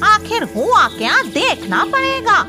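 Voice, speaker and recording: A woman voicing a witch in a children's cartoon, with dramatic, exclaiming, drawn-out delivery over music. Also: very high voice, huge pitch swings, full character voice. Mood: shocked, suspicious. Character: green-skinned witch woman guarding her fish pond, indignant. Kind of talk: Hindi children's cartoon dubbing. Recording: loud background music.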